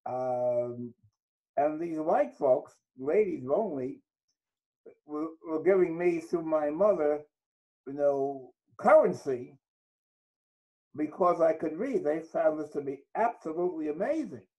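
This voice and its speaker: A man's voice: on the high side, animated, slow pace, dark tone, rough recording. An older man from Trinidad, a guest author, telling his life story in a reflective interview. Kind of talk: interview conversation.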